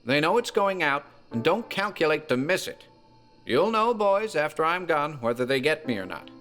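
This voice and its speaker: A man's voice: a little high, sing-song, moderate pace, fairly bright tone, soft background music. An actor playing a dying old country grandfather, sinister and knowing, with a wheezy voice and rustic dialect. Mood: eerie foreboding. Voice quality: wheezy, labored. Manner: rustic dialect, cryptic warnings.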